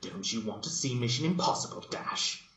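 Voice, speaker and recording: Man acting out impatient female cartoon unicorn, scolding, emphatic, bright edge, roomy slightly dull recording. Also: mid-range voice, expressive, moderate pace, pitched above the performer's own voice, lightly acted. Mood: bossy, irritated.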